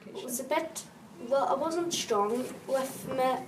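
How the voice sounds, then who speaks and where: A woman's voice: high voice, takes it slowly. A woman whose first language is Irish, speaking sincerely and simply in English in an interview. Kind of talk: documentary interview. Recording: distant, echoey and noisy.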